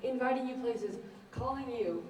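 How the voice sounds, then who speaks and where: A sardonic young woman played by a student actress who speaks bluntly in a stage play. Her voice is mellow and distant, with room echo and faint noise. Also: on the high side, normal speed, lightly acted. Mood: confrontational. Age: young adult. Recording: echoey room, far mic, faint noise.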